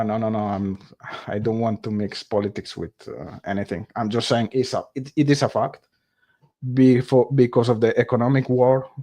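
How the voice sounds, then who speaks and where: A non-native English-speaking man hosts a relaxed live stream, reading viewer chat aloud and replying. His voice sits a little low, and he talks slowly, stopping often to hunt for the right word. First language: not English. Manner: halting, searches for words, many fillers.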